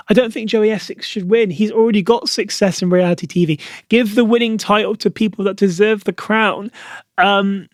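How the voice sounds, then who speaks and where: A man's voice: sits high, dry recording, clean studio sound. A young British man hosting a solo podcast, talking casually to camera and chatty, with lots of "like" fillers. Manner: chatty, frequent "like" fillers, opinionated. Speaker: podcast host.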